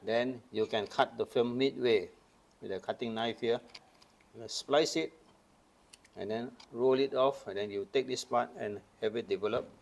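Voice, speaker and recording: Older man from Malaysia, gravelly, methodical show-and-tell explainer. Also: mid-range voice, normal speed. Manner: patient, explains step by step with hands-on demos. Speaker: camera collector. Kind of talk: casual show-and-tell demonstration.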